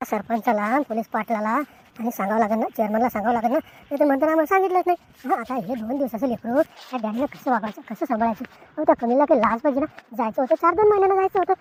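Woman as a scolding villager, brisk, somewhat high. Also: animated, low-quality recording. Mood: instructing. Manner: loud reproachful lecturing.

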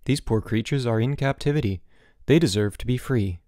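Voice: mid-range voice, fairly quick, dark tone, dry recording, studio quality, natural voice. A narrator voicing a mischievous schoolboy troublemaker with a bold, theatrical, mock-solemn delivery in a storybook.

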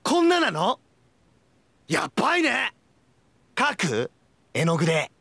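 Hyperactive young anime oddball, high bright voice, wildly swooping delivery, cheerful, goofy game character. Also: male voice, wildly swooping pitch, creaky, no echo, full character voice. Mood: cheerful, odd. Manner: manic, shouting, drawn-out yells, abrupt bursts. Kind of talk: exaggerated anime game voice clips.

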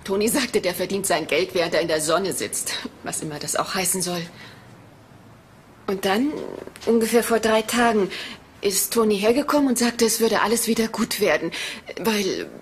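An actress playing a frank, nervous young woman in a German crime radio drama, speaking haltingly and candidly. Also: medium pitch, a little hiss or hum, lightly acted. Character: frank, uneasy young woman under police questioning.

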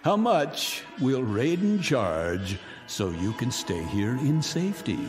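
A sneering, theatrical tormentor. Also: male voice, medium pitch, sing-song, slow pace, long reverb tail, soft background music. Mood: commanding. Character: scornful wealthy man in a bunker, taunting captives. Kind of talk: radio drama acting.

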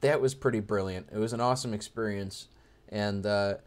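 A man's voice: on the low side, steady tone, fairly quick. A young man giving informal, joking, educational commentary over wildlife footage, with an enthusiastic manner. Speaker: amateur birder narrating wildlife footage.

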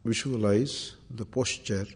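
A man with an Indian accent leading a guided meditation as a spiritual teacher, speaking deliberately in short phrases with Sanskrit terms and chants. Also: on the low side, steady tone, breathy edge. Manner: slow, deliberate, long pauses between short phrases.

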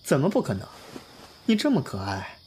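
A man's voice: medium pitch, huge pitch swings, moderate pace, a little mellow, a little hiss or hum. A man playing an earnest, lovestruck young pop star in an audio drama, speaking in an emotive, confiding way. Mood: earnest pleading. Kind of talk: Chinese audio drama acting, heartfelt monologue and dialogue.

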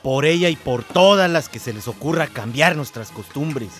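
A man's voice: on the high side, animated, normal speed, fairly bright tone, faint music behind. An actor playing a smug, domineering village man in a radio soap opera.

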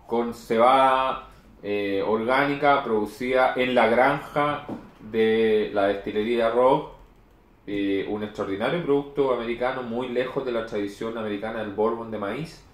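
A man's voice: medium pitch, a little slow. Man explaining at length in an echoey room. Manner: knowledgeable, explains at length with many fillers. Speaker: beer reviewer. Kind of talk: casual chat. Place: home room with beer posters. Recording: echoey room with faint noise.

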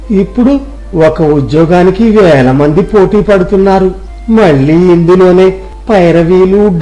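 A man's voice: high voice, warm tone. A man playing a poor, respectful, dejected young villager in a storybook tale, speaking plaintively. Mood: resigned. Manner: deferential, sighing, plaintive. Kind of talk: storybook character dialogue.

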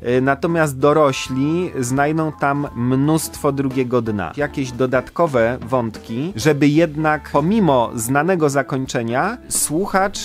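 A man's voice: mid-range voice, slow, few pauses. A middle-aged man talking about his work in an interview. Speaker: audio drama creator being interviewed. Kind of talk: interview talk. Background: faint music.

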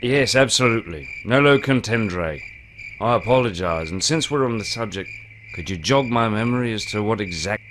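Man playing eccentric pirate captain, glib, theatrical, low-pitched, video game, clear background noise. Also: animated, moderate pace, natural voice. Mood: flippant. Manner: wry, flippant, theatrical. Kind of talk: video game cutscene acting.

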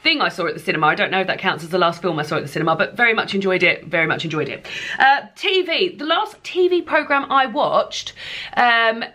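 A woman's voice: mid-range voice, animated, quick pace, sharp tone. A woman in her thirties chatting casually to camera in a rambling, informal way, as a vlogger. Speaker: vlogger reviewing books and entertainment. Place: small indoor room lined with bookshelves.

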